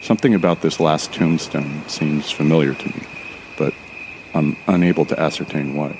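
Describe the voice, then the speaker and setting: A man's voice: very deep voice, steady tone, normal speed, noisy background. A man playing an uneasy but determined, wry explorer, narrating a first-person horror audio drama in a confiding, colloquial way. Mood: tense, ominous. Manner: descriptive, confiding, colloquial with swearing.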